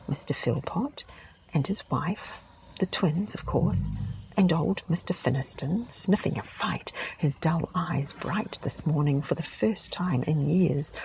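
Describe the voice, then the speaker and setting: A woman softly whispering a storybook aloud for relaxation, close to the mic. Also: low-pitched, creaky, slight background noise, low-quality recording. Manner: soft, whispered, unhurried. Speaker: ASMR reader. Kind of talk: whispered ASMR storybook reading.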